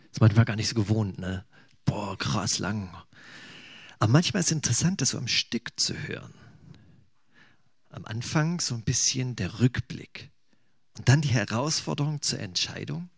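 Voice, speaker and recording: A man's voice: medium pitch, gravelly, a bit bright, slightly rough, dry recording, studio quality. A man from eastern Germany preaching in a conversational, musing way, with casual asides. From Thuringia, eastern Germany. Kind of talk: sermon.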